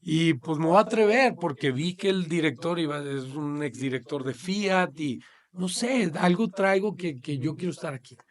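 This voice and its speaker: Man, Mexican accent, middle-aged, podcast guest, slightly high voice, animated slangy storytelling. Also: moderate pace. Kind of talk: storytelling in casual interview.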